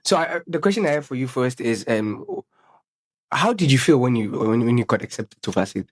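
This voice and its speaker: A young man from South Africa with a South African accent, co-hosting a casual podcast chat, halting with many restarts and slang. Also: medium pitch, lively intonation. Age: young adult. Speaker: podcast co-host.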